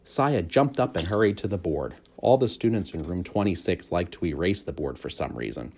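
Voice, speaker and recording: A man narrates a children's story in a fairly low voice. His delivery is expressive, with very lively swings in pitch, as suits a storybook reader. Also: normal speed, creaky, a little hiss or hum.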